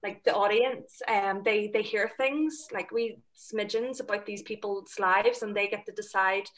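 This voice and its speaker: A woman's voice: medium pitch, fairly even intonation, unhurried, fairly bright tone. A young woman with a Northern Irish accent chatting on a video call from home, enthusiastic and full of fillers. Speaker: panel guest. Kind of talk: casual video call chat. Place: home room indoors. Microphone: laptop webcam on video call.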